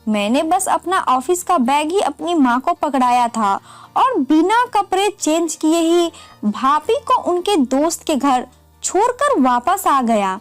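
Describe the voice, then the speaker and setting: A woman's voice: sits high, very animated, unhurried, dry recording, soft background music. A woman narrating a scripted, emotional first-person family story in a dramatic storytelling manner.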